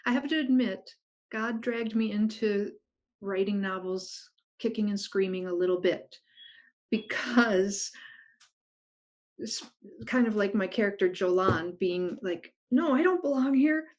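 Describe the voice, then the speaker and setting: A woman's voice: mid-range voice, unhurried. A middle-aged woman author chatting enthusiastically over a video call about her writing. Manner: enthusiastic, animated storyteller. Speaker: guest author. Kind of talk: casual interview chat.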